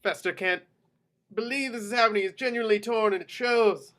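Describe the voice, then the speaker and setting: A man's voice: sits high, a little fast, pushed and bright. A man reading screenplay action descriptions aloud as narrator in a table read.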